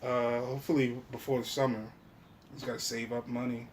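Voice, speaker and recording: Male podcast host, medium pitch, informal and foul-mouthed chat, audible ambient noise. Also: level tone, fairly quick. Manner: profane, slangy, informal talk. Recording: clear background noise.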